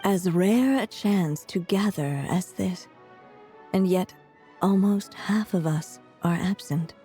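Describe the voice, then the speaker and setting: A woman playing a solemn, reflective mature witch in a fantasy video game, speaking in a measured, grave manner. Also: on the low side, warm tone, soft background music. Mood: wistful, solemn.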